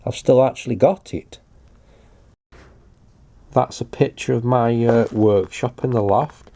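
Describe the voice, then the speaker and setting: A man's voice: mid-range voice, steady tone, unhurried, gravelly, mellow. An older British man giving a casual show-and-tell commentary in a hesitant, matter-of-fact way. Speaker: hobbyist video presenter.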